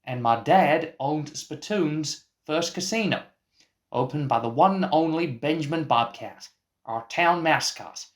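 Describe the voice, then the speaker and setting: A man voicing a folksy, cocky young Texan with an excitable manner and a Texan accent, in a radio play. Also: on the high side, unhurried, close to an everyday voice. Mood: proud, earnest.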